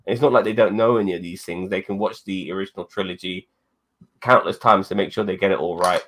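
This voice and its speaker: A man's voice: sits low, fairly even intonation, fast, a bit bright. Profane, rambling man in his forties. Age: 40s. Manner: rambling and profane, swearing often. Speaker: podcast co-host. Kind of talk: casual chat.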